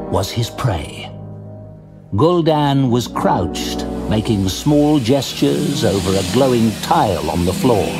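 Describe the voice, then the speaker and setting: A man giving dramatic, measured scripted narration for an audio drama, with faint music behind. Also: medium pitch.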